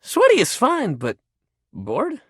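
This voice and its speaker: Casting: laid-back young jock coach, a living shuttlecock in a video game. Very lively, swooping intonation, slangy and encouraging, dry close recording. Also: male voice, very high-pitched, takes it slowly, breathy edge, dry recording, full character voice. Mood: warm, encouraging. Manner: slangy, bro-ish, encouraging, swearing casually. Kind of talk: video game character voice acting.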